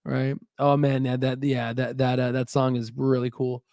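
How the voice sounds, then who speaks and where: A man hosting a stock channel, reacting to an interview clip with excitable, stammering disbelief. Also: mid-range voice, normal speed, dry recording, clean studio sound. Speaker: channel host reacting to a video. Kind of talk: live reaction commentary.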